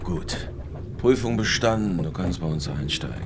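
An actor playing a terse, controlled and menacing gang leader in a radio crime drama. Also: male voice, deep voice, lively intonation, normal speed, noisy background. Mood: cool, approving. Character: menacing, self-possessed male leader of a criminal gang. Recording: music behind the voice.

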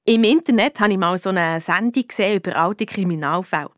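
A plucky young schoolgirl sleuth played by a woman tells her story in a children's radio detective play. She has a Swiss accent and a middling-to-high voice, and thinks aloud in an expressive, colloquial way, curious and cheeky. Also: fast talker, no room sound, clean studio sound, close to an everyday voice. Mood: pondering. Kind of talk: children's radio detective play, first-person narration and dialogue.

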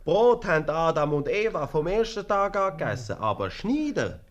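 An actor playing a proud guild baker in a radio comedy, hearty and teasing, with a Swiss accent. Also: male voice, on the high side, big pitch swings, moderate pace, highs cut off. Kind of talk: 1950s radio comedy acting.

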